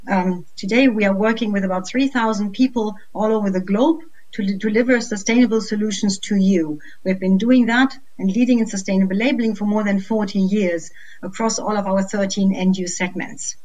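A woman's voice: mid-range voice, darkish tone, poor audio. A young woman hosting a corporate webinar over a video call, asking friendly, scripted questions. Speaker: webinar host.

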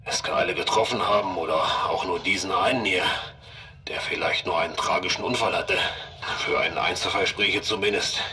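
A man playing a calm, matter-of-fact ship's doctor in a sci-fi audio drama, speaking deliberately. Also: low voice. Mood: calm, pondering.

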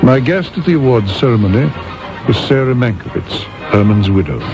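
An actor playing an urbane, wry film and theatre producer, articulate, formal and dry, in a radio play. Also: male voice, mid-range voice, animated, brisk, close to an everyday voice. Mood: measured, reflective. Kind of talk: radio drama dialogue and monologue. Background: music.

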